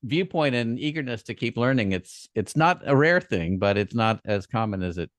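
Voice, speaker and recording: A man hosts a podcast interview and asks questions in a conversational, encouraging way. His voice sits in a middle pitch. The recording is dry and close, with almost no room sound. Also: expressive, normal speed, dry recording. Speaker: podcast host.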